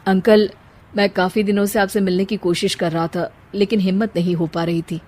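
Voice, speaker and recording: A woman's voice: mid-range voice, level tone, normal speed, lightly acted. A woman doing a timid young man. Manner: hesitant, quiet.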